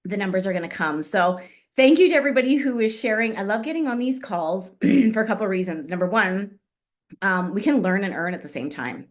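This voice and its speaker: Woman giving a pep-talk style training, coughy strained voice, clears her throat a lot. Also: medium pitch, expressive, brisk. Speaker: guest speaker on a business call. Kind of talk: upbeat motivational training talk.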